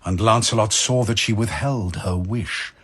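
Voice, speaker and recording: A man narrating verse as a dramatic storyteller, in a measured, solemn, literary manner. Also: fairly low pitch, fairly bright tone, breathy edge.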